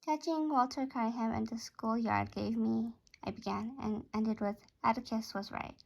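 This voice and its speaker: A woman's voice: on the high side, steady tone, moderate pace, gravelly, muffled recording, a little hiss or hum. A woman reading a first-person story aloud, now and then stumbling and repeating a phrase.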